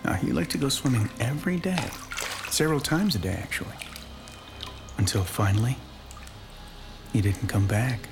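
A man's voice: medium pitch, sing-song, normal speed, creaky, pauses often. An actor playing a middle-aged, folksy father in an audio horror drama, talking colloquially like a storyteller. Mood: playful, teasing. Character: folksy joshing father, growing drowsy and frightened. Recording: music and splashing water behind. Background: music, bathwater.

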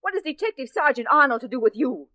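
An earnest young sweetheart in an old radio mystery. Her voice sits high and carries anxious, melodramatic feeling. The vintage recording has very little room sound. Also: animated, fast talker, bright, forceful tone, dry recording. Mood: sad, bewildered. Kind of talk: 1940s radio acting.

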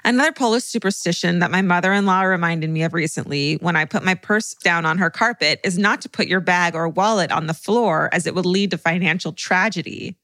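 A woman's voice: fairly low pitch, a little fast, few pauses, fairly bright tone, no room sound. A woman co-hosting a podcast, reading listener letters and chatting expressively, laughing easily and swearing. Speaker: podcast co-host.

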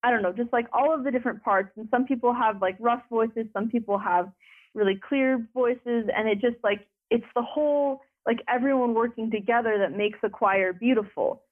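A young woman speaking as an interview guest, rambling with many filler words and restarts. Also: normal speed. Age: young adult. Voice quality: deeper voice. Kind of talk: conversational interview. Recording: phone line.

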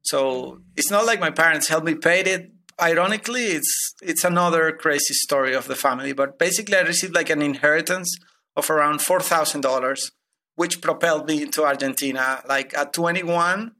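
A man's voice: fairly high pitch, a little fast, pushed and bright. A man in his thirties from Venezuela, a guest telling his money story with many hesitant restarts. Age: 30s. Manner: hesitant, many repeated starts. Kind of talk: personal finance interview.

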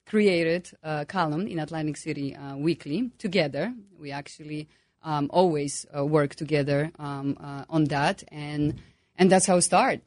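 A woman's voice: deep voice, moderate pace, a little mellow, no room sound, clean studio sound. A woman from Poland with a Polish accent, speaking as an astrologer guest with many hesitations and fillers. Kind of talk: interview guest.

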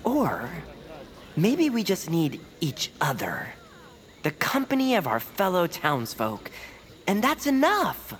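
A woman's voice: on the low side, wildly swooping pitch, slow, a little hiss or hum, exaggerated voice. A woman playing an earnest, nervous optimist in an audio fiction drama, speaking in a hesitant, sincere way. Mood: earnest, halting.

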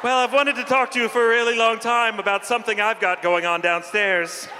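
Casting: male comic as nervous monkey sidekick, high register, hesitant confessions, noisy live improv stage. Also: level tone, a little fast, long reverb tail, natural voice. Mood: earnest, awkward. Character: monkey sidekick in boots, awkward and anxious.

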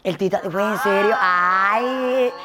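A woman's voice: mid-range voice, takes it slowly, crowd noise. A woman in her thirties from Honduras, hosting a casual chat, talking in a slangy way and slipping into English. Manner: chatty, slangy, mixes in English. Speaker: podcast host.

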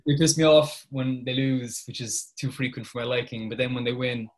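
A man in his twenties with a Cork Irish accent, chatting casually as a podcast guest in a relaxed, informal way. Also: mid-range voice, moderate pace, crowd noise. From Ireland. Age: 20s. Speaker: guest journalist.

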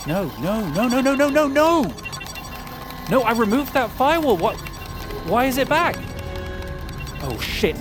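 An actor playing a rattled tech expert in an audio drama, muttering and repeating himself frantically. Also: male voice, very high voice, big pitch swings, measured pace, long pauses, music in the background, heavily acted. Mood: alarmed. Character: rattled tech expert panicking over a returned firewall.

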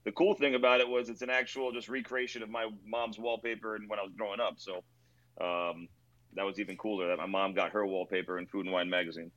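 A man from Maryland, a restaurant owner, telling stories in a relaxed, rambling way with lots of fillers during an interview. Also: on the low side, fast, dull audio. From Rockville, Maryland, near Washington D.C. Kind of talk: casual interview over video call. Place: his own themed bar.

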